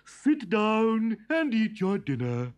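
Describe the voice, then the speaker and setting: A shrill, bossy giantess who snaps out orders in a high, fussy voice, as a man reads her aloud. Her pitch leaps widely. She is a storybook character. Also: huge pitch swings, slow, exaggerated voice. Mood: urgent.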